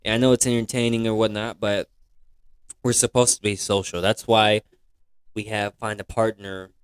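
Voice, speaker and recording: A man's voice: on the low side, level tone, normal speed, smooth, no echo, clean studio sound. A man in his twenties co-hosting a casual podcast, talking in an emphatic, opinionated way and often asking "you know what I'm saying". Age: 20s.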